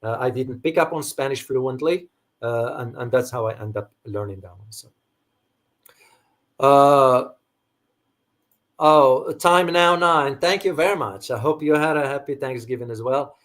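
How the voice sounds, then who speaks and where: A man's voice: medium pitch, a little slow, a bit bright. A middle-aged man, raised in Morocco with Arabic as a first language, hosting a casual livestream chat and answering viewers with rambling restarts. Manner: rambling, frequent restarts and fillers, thanks viewers by name. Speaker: host answering viewer questions.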